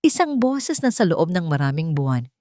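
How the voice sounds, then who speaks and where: Narrator: low-pitched woman, dramatic storybook read, dry close mic. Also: sing-song, moderate pace, mellow, dry recording. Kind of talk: scripted narration of a romance story.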